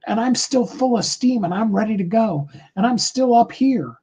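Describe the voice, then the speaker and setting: A middle-aged man with a high tenor voice, giving a rambling, earnest motivational talk on a live call, full of fillers. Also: animated, normal speed, a little mellow, husky.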